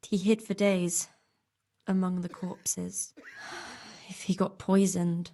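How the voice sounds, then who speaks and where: A woman's voice: fairly low pitch, flat delivery, slow pace, dark tone, slight background noise. A woman playing a weary, stern and devoted medieval nurse in a video game, blunt in manner. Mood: grave. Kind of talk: video game cutscene dialogue.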